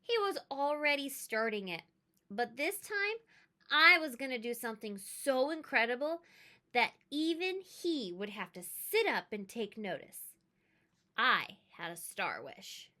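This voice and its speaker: A woman reading a children's storybook aloud as a storyteller, with dramatic, expressive emphasis. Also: on the high side, a little slow. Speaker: read-aloud storyteller, teacher.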